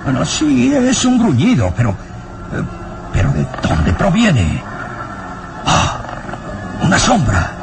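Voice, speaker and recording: An actor playing an anxious elderly professor in an old melodramatic radio serial, talking to himself in gasps and exclamations. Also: male voice, fairly high pitch, wildly swooping pitch, creaky, noisy background, full character voice. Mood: mounting horror. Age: older. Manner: gasping, halting self-talk with exclamations.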